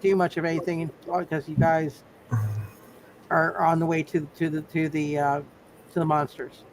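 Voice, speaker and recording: A man acting as game master of a superhero roleplay, halting and often repeating his phrases as he improvises. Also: a little high, little pitch movement, slight background noise, rough recording. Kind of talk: live tabletop superhero roleplay, improvised narration.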